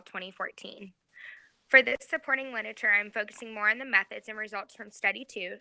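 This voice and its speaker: Young woman in her twenties, bright and crisp, careful scripted student presenter. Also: mid-range voice, dry recording, poor audio. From Georgia, USA. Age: 20s. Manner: reads from a script, careful and even. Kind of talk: reading a class presentation.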